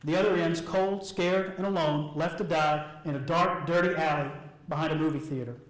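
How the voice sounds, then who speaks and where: A man delivering a prepared, earnest motivational speech to an audience in a deliberate way, with short emphatic phrases and pauses. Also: fairly high pitch, steady tone. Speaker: public speaker. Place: indoor room before an audience. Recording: roomy echo of a speech venue.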